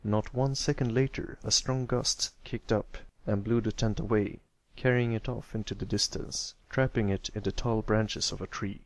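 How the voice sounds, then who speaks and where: A man's voice: fairly low pitch, fairly even intonation, moderate pace, hardly any pauses, no room sound. A man giving a scripted narration of a fan fiction story as an amateur reader.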